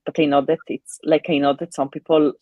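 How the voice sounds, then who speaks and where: Woman chatting casually, dark mellow voice. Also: sits low, little pitch movement, a little fast, dry recording. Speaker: live stream co-host. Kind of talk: casual live chat.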